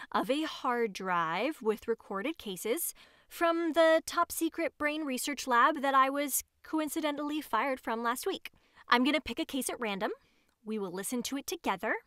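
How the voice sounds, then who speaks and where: Female, disgraced scatterbrained scientist, halting self-justifying rambler, slightly high pitch, dry close sound, audio drama. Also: a bit bright, no room sound. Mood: eager. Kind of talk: audio drama monologue as if recording a homemade show.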